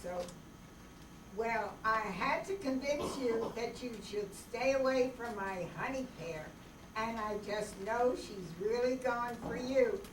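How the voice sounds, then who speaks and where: A woman's voice: fairly high pitch, takes it slowly. A woman playing a polite, lovesick gunman with folksy phrasing in an old-time radio drama. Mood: earnest, smitten. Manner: drawling folksy grammar, courteous while threatening. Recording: roomy, noisy, distant microphone stage recording.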